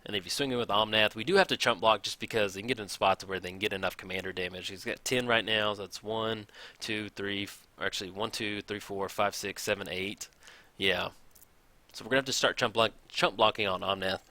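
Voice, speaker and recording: A man casually commenting on a card game match, thinking aloud, his voice a little raspy from hours of recording. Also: on the low side, steady tone, fairly quick, dry recording. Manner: chatty, thinks aloud, counts out loud. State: slightly raspy from long recording. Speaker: gameplay commentator.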